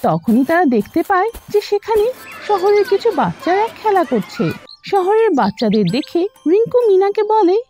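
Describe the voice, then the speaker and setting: Very high, animated woman storyteller. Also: normal speed, faint music behind. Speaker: narrator. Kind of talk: scripted children's story narration.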